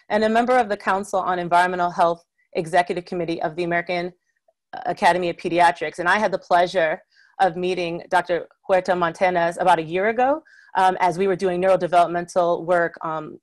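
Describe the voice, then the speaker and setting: A woman with a somewhat low voice moderates an online panel. She introduces the guest speaker graciously, with a few fillers, over a video call.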